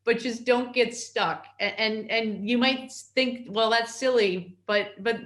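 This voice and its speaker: A middle-aged woman from New York, a consul answering interview questions in a halting way, with many fillers and restarts. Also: fairly high pitch, reverberant. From New York, United States.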